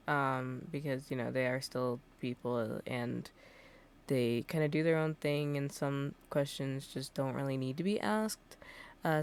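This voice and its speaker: A woman's voice: low-pitched, clear, resonant tone. A woman livestreaming a video game, chatting casually and reacting aloud as she plays. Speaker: game streamer. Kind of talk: casual livestream gameplay chat.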